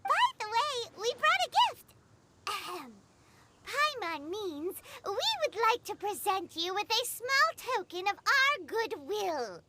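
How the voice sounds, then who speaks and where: A woman's voice: extremely high pitch, big pitch swings, slow pace, noisy background, full character voice. A woman voicing a tiny, chatty, cheeky female fairy companion in a video game, who talks about herself in the third person. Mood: eager. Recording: game audio with loud music behind.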